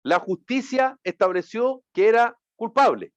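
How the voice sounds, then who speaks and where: A man's voice: very high-pitched, expressive, measured pace, dry recording, dull audio. A Chilean man hosting a radio panel discussion, joking and colloquial, often cutting in. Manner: joking, colloquial, interrupts others. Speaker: radio host.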